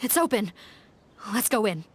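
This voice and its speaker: A woman playing a serious, dutiful police captain in a video game, speaking with crisp authority. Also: mid-range voice, big pitch swings, moderate pace, a little hiss or hum, lightly acted. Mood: resolute.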